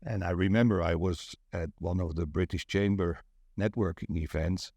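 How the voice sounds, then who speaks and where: A middle-aged or older man from the Netherlands with a Dutch accent, telling stories in a measured, deliberate way as an interview guest. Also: low-pitched, fairly even intonation, vocal fry, darkish tone, no echo, studio quality. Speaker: networking event organiser, interview guest. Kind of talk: conversational interview, recounting.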